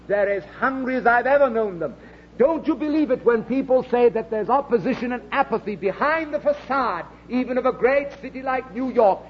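High-pitched British preacher, impassioned. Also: male voice, a little hiss or hum. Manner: impassioned, repeats phrases for emphasis; rhetorical questions to listeners. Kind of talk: evangelical sermon. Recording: dull, lo-fi sermon recording.

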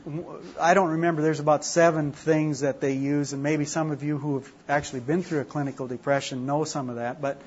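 A man's voice: fairly high pitch, steady tone, fairly quick, hardly any pauses, muffled recording, a little hiss or hum. A man from Minnesota with a Minnesota accent, leading an informal class discussion in a chatty, self-deprecating way. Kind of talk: informal group teaching.

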